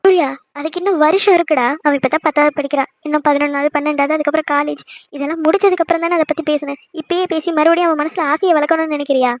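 A woman's voice: high voice, fairly even intonation, racing, no echo, lightly acted. A woman playing an anxious, scolding middle-aged mother in a family drama, fretful and emphatic. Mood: doubtful, firm. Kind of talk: melodramatic family audio drama.

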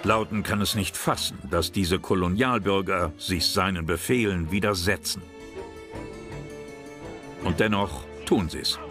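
A man narrating a history documentary drama in a measured, serious way. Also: deep voice. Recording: narration over loud music.

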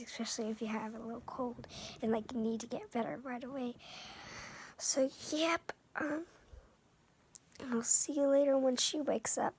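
A woman's voice: high voice, darkish tone. A young girl with a sore throat and runny nose, whispering and chatting as she co-hosts a casual vlog from bed, filmed on a phone held at arm's length. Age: child. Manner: chatty, rambling, often whispering. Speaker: vlog co-host. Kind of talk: casual vlog chat to camera. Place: bedroom, lying in bed. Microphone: phone front camera at arm's length.

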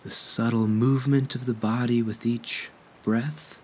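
A man leading a guided meditation in a gentle, unhurried way with measured pauses. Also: mid-range voice, fairly even intonation, slight background noise.

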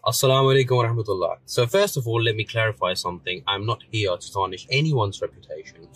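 A man's voice: on the low side, bright, forceful tone, low-quality recording. A man in his thirties, born in Pakistan with Urdu as his first language, earnestly talking in a parked car, recorded on a phone, with many fillers. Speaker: commentator. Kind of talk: casual video rebuttal.

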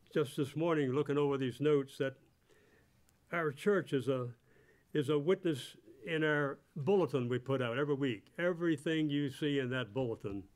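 A man in his eighties with a mid-range voice speaks at a church pulpit. He gives a testimony from notes in a halting way, often repeating a word before he carries on. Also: mid-range voice. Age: 80s. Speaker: church member giving testimony. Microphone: microphone on a stand at the pulpit.

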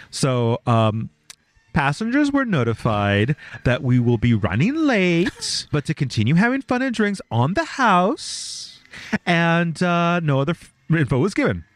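A man telling stories on a podcast, with a lively voice that swings up and down as he goes. He laughs a lot and swears without a second thought. The sound is close and dry. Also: medium pitch, dry recording. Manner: laughs often, swears freely, animated storytelling. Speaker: podcast co-host. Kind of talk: casual chat, reading out stories.

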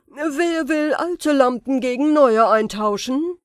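A woman voicing a wicked, vengeful sorcerer in a fairy-tale audiobook, forceful and theatrical in delivery. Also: high voice, takes it slowly, no room sound, clean studio sound, voice pushed up. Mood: calling out. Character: wicked vengeful sorcerer, scheming grown man.

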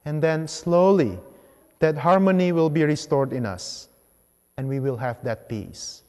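A man gives a homily in a fairly high-set voice. He speaks in measured, deliberate phrases with pauses between them, as a priest at the lectern. The long reverb of a large church trails behind his words. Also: darkish tone. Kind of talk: homily, measured public preaching. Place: large church sanctuary, at the ambo.

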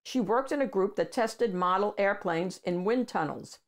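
An older woman reads a picture book aloud as a host for children, with deliberate, emphatic phrasing. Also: mid-range voice. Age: older, 60s or above. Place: small room at home with bookshelves.

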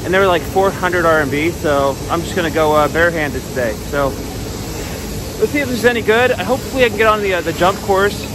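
A man's voice: high voice, quick pace, noisy background. Guy in his 30s vlogging from an indoor ski slope, camera at arm's length, laid back offhand talk. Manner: relaxed, offhand commentary while skiing. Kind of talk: casual vlog talk. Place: large indoor ski hall. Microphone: handheld camera at arm's length.

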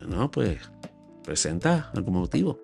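A man's voice: lowish voice, big pitch swings, measured pace, gravelly, darkish tone, soft background music. A man in his sixties with a Puerto Rican accent, speaking emphatically into a close studio microphone, scolding his viewers in a solo commentary from a small room at home. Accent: Puerto Rican Spanish. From Puerto Rico. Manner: earnest, scolding, emphatic, talks with his hands. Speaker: YouTube commentator. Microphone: large studio mic on a boom, close up.

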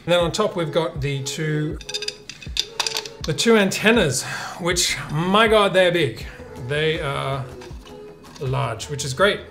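A man's voice: a little high, expressive, takes it slowly, pauses often. Australian man, casual gear reviewer over music. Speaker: tech vlogger and director. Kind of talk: casual unboxing and review narration.

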